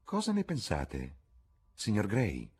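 A man's voice: lowish voice, wildly swooping pitch, takes it slowly, a little mellow, slightly rough, full character voice. A man voicing a flirtatious, witty aristocratic duchess in arch, teasing society talk for an audiobook. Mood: probing.

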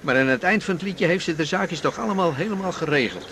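A man's voice: a little high, animated, fast talker, background noise. An actor playing an amiable, inquisitive male companion in a naturalistic radio drama. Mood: frank.

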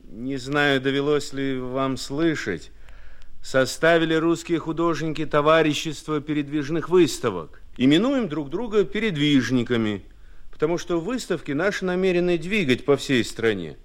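An actor in a Soviet radio play voicing a respectful, persistent nineteenth-century painter with formal, courteous phrasing. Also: male voice, on the high side, animated, moderate pace, lightly acted. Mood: determined. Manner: courteous, formal, declamatory old-fashioned phrasing.

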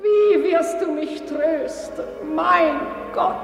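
A woman's voice: very high-pitched, slow, gravelly, full character voice. An actress playing a grieving, sorrowful mother in a radio play, in an echoing hall with music. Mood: anguished.

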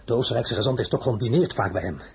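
An actor playing a proud, weary French emperor who swings from murmurs to commanding, threatening outbursts in a dramatic audio play. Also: male voice, medium pitch, animated, quick pace, darkish tone, a little hiss or hum, close to an everyday voice. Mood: tense. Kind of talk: dramatic radio play acting.

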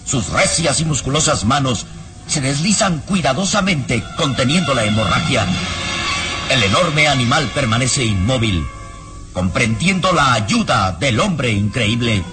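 A man's voice: medium pitch, lively intonation, a little slow, loud music behind the voice. A man narrating a radio serial in an emphatic, suspenseful style.

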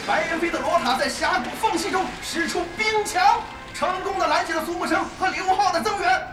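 A woman playing a polished, energetic esports commentator in an audio drama, heard as a broadcast over speakers. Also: very high-pitched. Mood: excited. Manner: brisk, professional, excited. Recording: roomy, distant, loud music behind.

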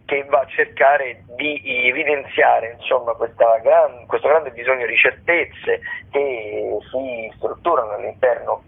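Male radio host, creaky and husky, halting as he runs through theatre listings, slightly muffled broadcast sound. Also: medium pitch. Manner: hesitant, halting delivery with self-corrections. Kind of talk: semi-improvised radio arts listings. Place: radio studio.

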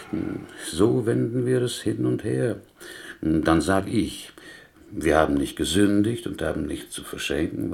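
Pious small-town butcher from Poland, low voice, creaky, talkative, gentle, wily, inverted syntax, radio play. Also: male voice, exaggerated voice. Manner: inverted Yiddish-style syntax, musing, rambling storyteller. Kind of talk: German radio play acting.

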